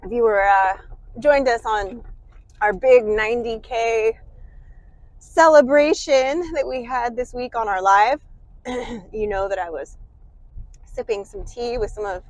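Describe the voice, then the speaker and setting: A woman's voice: fairly high pitch, slow, pauses often, darkish tone, dry recording, rough recording. Sick, congested American woman host, chatty car vlog, dry close sound. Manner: chatty, rambling, self-deprecating. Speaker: channel host. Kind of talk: casual vlog while driving.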